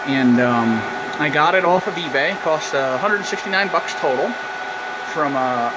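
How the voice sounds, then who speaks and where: Man explaining gear over loud equipment noise. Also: on the high side. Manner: technical, explanatory, thinking aloud. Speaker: amateur radio hobbyist giving an equipment tour. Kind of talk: casual hands-on demonstration narration. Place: small indoor equipment room with radio racks. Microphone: handheld camera or phone held by speaker.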